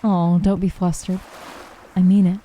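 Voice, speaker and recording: A woman reading a calm, teasing young woman. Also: lowish voice, a little slow, poor audio. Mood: tender. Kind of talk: visual novel dialogue read aloud.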